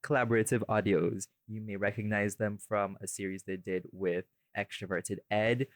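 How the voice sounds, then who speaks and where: A man's voice: fairly low pitch, level tone, moderate pace, no room sound. A man hosting a casual podcast, bantering and rambling as he corrects himself and slips into playful mock-formal introductions. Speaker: podcast host.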